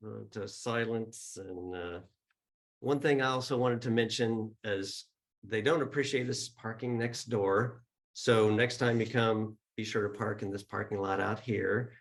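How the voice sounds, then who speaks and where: A man's voice: medium pitch, creaky. A man giving chatty, informal opening remarks at a church service, speaking to a hall audience and online viewers on a mic that sometimes drops out. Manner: chatty and informal, with ums and uhs.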